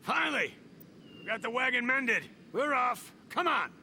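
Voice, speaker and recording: A man playing an excitable, bossy old wagon-driving showman in a video game dub, blustery and exclaiming. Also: extremely high pitch, normal speed, vocal fry, slight background noise, exaggerated voice. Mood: impatient.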